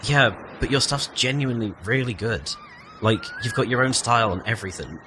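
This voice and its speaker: A man's voice: medium pitch, lively intonation, a little fast, noisy background, lightly acted. A man playing a laid-back, modest young former musician in an audio play, talking casually and self-deprecatingly. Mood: modest, matter-of-fact. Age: young adult. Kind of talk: naturalistic audio play dialogue.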